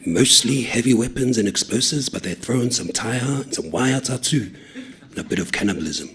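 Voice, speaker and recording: A man voicing a cheeky young male road worker who spins deadpan tall tales in a low, conspiratorial way. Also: fairly quick, some chatter behind.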